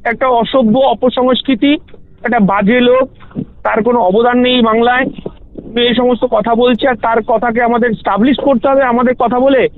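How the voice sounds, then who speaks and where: A man's voice: extremely high pitch, steady tone, moderate pace. A man with a West Bengal Bengali accent answering interview questions on a phone call in a combative, dismissive, emphatic way. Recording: muffled narrowband phone call.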